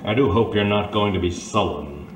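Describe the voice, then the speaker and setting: Actor as a suave, pompous boss in echoey room. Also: male voice, lowish voice, close to an everyday voice. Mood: smug, brisk. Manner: pompous, genteel, measured formal phrasing. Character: suave, condescending male crime boss, coolly controlling. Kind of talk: old-time radio drama acting. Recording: roomy, slightly distant, faint background noise.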